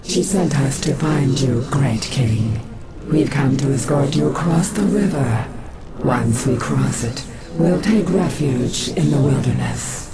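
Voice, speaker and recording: Casting: eerie female spirit for fantasy game, gravelly, unhurried, falsely polite. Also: extremely low pitch, expressive, full character voice. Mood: eerily courteous. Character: eerie female banshee spirit, deceptively courteous servant. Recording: loud music behind the voice.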